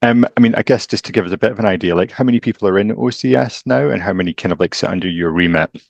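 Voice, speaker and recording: A man's voice: lowish voice, rapid-fire, creaky, no echo, lo-fi sound. A man hosting an interview series, upbeat and chatty, with many fillers. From Glasgow, Scotland. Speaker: interviewer, host.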